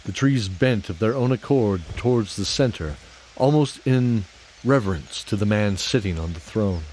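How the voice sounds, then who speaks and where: A man narrating a scripted fantasy audio drama in a deliberate, atmospheric storytelling style. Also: fairly low pitch, lively intonation, darkish tone, slight background noise, low-quality recording.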